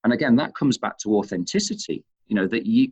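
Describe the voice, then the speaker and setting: A man from Manchester with a Northern English accent, answering interview questions in a thoughtful, halting way, restarting phrases as he goes. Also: mid-range voice, lively intonation, darkish tone, slightly rough. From Manchester, England. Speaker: interview guest, chief executive of leadership institute. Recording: dull, muffled lo-fi audio.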